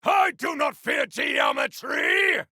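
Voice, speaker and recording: A man playing a zealous, boastful, battle-hungry warrior champion in a video game, with a raspy voice and bombastic, archaic delivery. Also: very high-pitched, lively intonation, slow pace, pushed and bright, no echo, shouting, studio quality, full character voice. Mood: battle fury. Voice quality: gravelly, raspy. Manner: bombastic, archaic, theatrical declamation. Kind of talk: video game combat barks.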